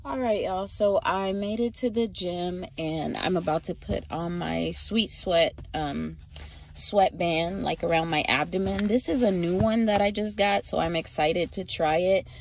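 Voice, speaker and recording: A young woman chatting casually to her phone camera from inside a parked car, relaxed and full of fillers, with a lilt. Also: fairly low pitch, a little slow, vocal fry, a little hiss or hum. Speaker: vlogger. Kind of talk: casual vlog talk to camera. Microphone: phone camera at arm's length.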